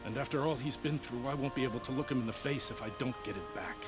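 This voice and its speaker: A man's voice: mid-range voice, fast, loud music behind the voice, close to an everyday voice. An actor playing an anxious, proud nobleman in a video game, speaking in a formal, dramatic, earnest way. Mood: sorrowful, earnest. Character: anxious, proud nobleman pleading over family honor. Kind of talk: video game character acting.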